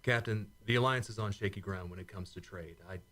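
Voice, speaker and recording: A man's voice: fairly low pitch, brisk, sharp tone. Man playing a wry starship first officer. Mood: exasperated. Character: loyal, plain-spoken male starship first officer. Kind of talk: sci-fi radio play acting.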